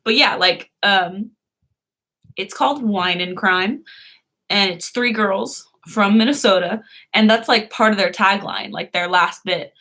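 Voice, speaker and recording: A woman's voice: medium pitch, measured pace, pushed and bright. A young woman hosting a casual live stream, who chats, laughs often and answers her viewers' comments.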